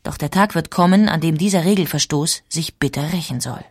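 A woman tells a historical story from a script, clear and composed. Her voice sits somewhat low for a woman and is recorded dry, with no room sound. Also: brisk, a little mellow, dry recording. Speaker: narrator. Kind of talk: scripted documentary narration.

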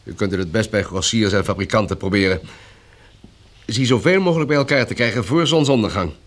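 An actor playing a brisk, bureaucratic colonel in a radio drama, with a clipped, orderly way of questioning. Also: male voice, on the low side, close to an everyday voice. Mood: businesslike, practical. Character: brisk, bureaucratic male colonel running a survivors' camp. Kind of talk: Dutch radio drama acting.

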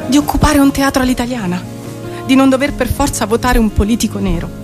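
A woman giving a melancholic, introspective, theatrical spoken-word reading of poetic prose, as a stage performer. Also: medium pitch.

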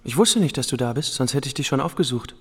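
Excitable young war veteran in reverberant hall. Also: male voice, mid-range voice, quick pace, husky. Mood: bitter, confiding. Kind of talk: German radio play acting.

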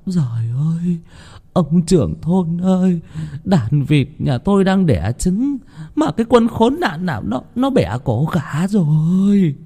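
A man voicing a village grandmother near seventy, wailing tearfully in a high, strained voice. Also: big pitch swings, takes it slowly, mellow, breathy edge, heavily acted.

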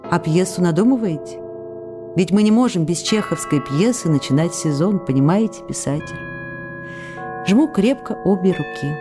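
A woman's voice: on the low side, animated, moderate pace, close to an everyday voice. A woman playing an affectionate, restless actress who reads her love letters aloud in a coquettish, pleading, emotive way for a radio play. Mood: weary, longing. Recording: radio broadcast with music behind. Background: music.

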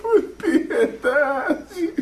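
A man's voice: extremely high pitch, animated, drawn-out words, heavily acted. A man playing a tormented, mutilated suicide spirit in a Brazilian radio drama, wailing and pleading desperately with a Brazilian accent. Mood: frantic agony. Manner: wailing, desperate, crying out in broken phrases. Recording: echoey, distant voice under loud music.

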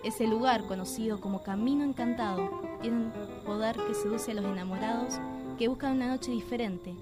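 Radio drama narrator: Argentine woman, slightly high voice, measured and ominous, music beneath. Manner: measured, suspenseful storytelling.

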